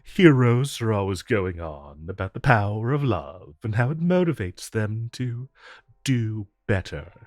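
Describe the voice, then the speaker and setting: Man playing a low, slow, flirtatious villain. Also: sing-song, no echo, clean studio sound. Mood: coaxing, flirtatious. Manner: smooth, teasing, unhurried drawl with deliberate pauses. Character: suave, flirtatious male supervillain courting his tailor. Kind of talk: romantic audio roleplay addressed to the listener.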